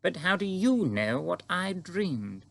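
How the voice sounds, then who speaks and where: A man voicing a regal older Queen in an audiobook, with an imperious, deliberate and commanding manner. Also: high-pitched, big pitch swings, creaky, voice pushed up, full character voice. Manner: imperious, drawn-out deliberate speech. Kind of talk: audiobook character acting.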